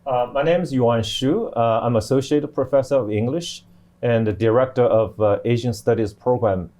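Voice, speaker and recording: A middle-aged man explaining things on a panel as a professor, in a halting way with many restarts. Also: medium pitch, dark tone. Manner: halting, hesitant delivery with repeated restarts. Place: TV studio.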